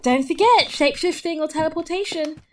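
A woman's voice: high voice, fairly quick, slight background noise, lightly acted. A woman playing a naive, wealthy young noblewoman, polite and earnest, in a fantasy audio drama. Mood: curious, earnest. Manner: polite, genteel, exclamatory.

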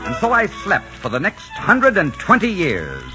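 An actor playing a dashing, boastful pirate captain in a 1940s radio drama, spinning tall tales and turning tender and dreamy. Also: male voice, high-pitched, wildly swooping pitch, moderate pace. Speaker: pirate leader. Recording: muffled old radio broadcast with music.

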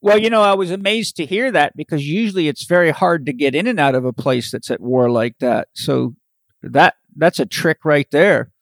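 Man, high pitch, frank, easygoing, dry close sound. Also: moderate pace, no echo. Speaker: interviewer. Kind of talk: casual interview conversation.

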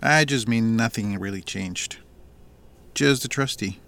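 An actor playing a proud, plainspoken farmer with a teasing streak in a naturalistic audio drama. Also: male voice, mid-range voice, a little slow, creaky, fairly bright tone. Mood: guarded.

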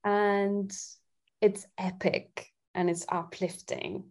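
A woman's voice: mid-range voice, flat delivery, slow pace. A woman from Italy, a guest singer-songwriter chatting casually in a giggly, thoughtful way. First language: Italian. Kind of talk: casual chat.

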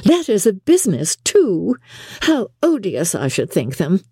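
A woman's voice: medium pitch, wildly swooping pitch, unhurried, mellow, slightly rough, no room sound, studio quality, voice pushed up, full character voice. A woman voicing a fawning, affectedly sweet young society lady in an audiobook, cooing and gushing. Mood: gushing flattery. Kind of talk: audiobook character acting.

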